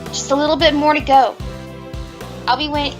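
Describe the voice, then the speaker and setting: A woman's voice: high voice, flat delivery, normal speed, over music, close to an everyday voice. A woman playing a perky, supportive young rabbit police officer in an amateur audio drama. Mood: encouraging.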